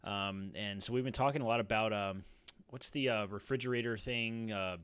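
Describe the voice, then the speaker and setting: A man in his thirties with an American accent and a fairly low voice. He talks casually and earnestly, like a podcast panelist, into a close mic with a dry sound. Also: normal speed, fairly bright tone, clear, resonant tone, no echo. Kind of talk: casual roundtable discussion. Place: small room at home. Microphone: close boom-arm podcast mic.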